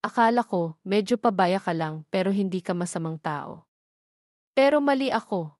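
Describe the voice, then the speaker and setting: A woman's voice: mid-range voice, lively intonation, normal speed, darkish tone, no echo, studio quality, lightly acted. A woman voicing a desperate young woman who is angry and hurt, read in a plain narrator's voice. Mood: bitter. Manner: bitter, accusing.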